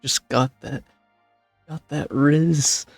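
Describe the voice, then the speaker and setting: Male game streamer, heavy vocal fry, rough husky tone, casual reactions, faint music behind. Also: fairly high pitch, steady tone, extremely slow, no echo. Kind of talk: casual live reaction commentary.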